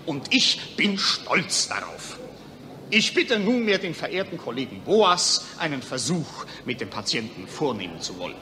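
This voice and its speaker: A man's voice: fairly high pitch, sing-song, normal speed, pushed and bright. A man acting a pompous, jingoistic psychiatrist presiding over colleagues in a declamatory 1940s radio play style. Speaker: actor. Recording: 1940s radio recording, long hall reverb.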